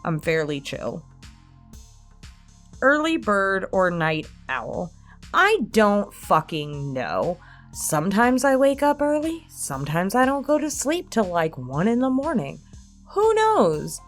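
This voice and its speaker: A woman's voice: mid-range voice, huge pitch swings, slow pace, faint music behind. A woman casually chatting through a question-and-answer session, candid and self-deprecating, with a freely sweary way of talking. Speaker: online creator answering subscriber questions. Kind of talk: casual Q&A chat.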